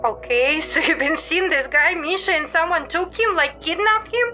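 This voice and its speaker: A blunt woman agent in her thirties, with a very high, bright voice. She questions skeptically, like a doubting big sister in a radio drama. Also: moderate pace, faint music behind. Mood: wary, skeptical. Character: skeptical Russian female secret agent, thirty-two, blunt older sister. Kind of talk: audio drama acting.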